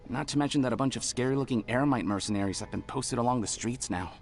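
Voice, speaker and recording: A man's voice: medium pitch, fast, gravelly, soft background music, close to an everyday voice. An actor voicing a worried male student in a video game, passing on troubling news. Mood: worried, frustrated.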